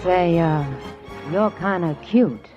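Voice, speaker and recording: A man voicing a flirty cowgirl with deadpan wisecracks in a 1940s radio comedy. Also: high-pitched, slow pace, over music. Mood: flirty, wry. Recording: dull, noisy old radio recording.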